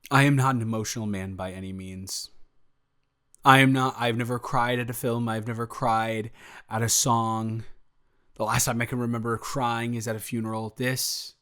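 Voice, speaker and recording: Casting: male actor for a sweet, easily flustered young boyfriend in intimate audio roleplay, mid-pitched, halting and stammering, eager and smitten. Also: a bit bright, lightly acted. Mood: awestruck, smitten. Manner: stammering, halting, trailing off and correcting himself.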